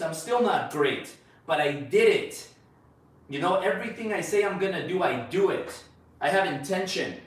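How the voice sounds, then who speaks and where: A man with a Mexican accent hosting a casual livestream, blunt, boastful and profane as he pitches an event. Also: distant mic. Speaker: host of a livestream. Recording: roomy echo, away from the mic.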